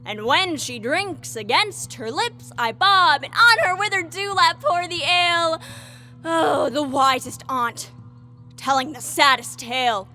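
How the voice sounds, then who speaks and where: A woman's voice: sits high, measured pace, sharp tone. A woman playing a mischievous, boastful fairy sprite and jester, telling tales in Shakespearean verse for an audio drama. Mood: gleeful, boastful. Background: music.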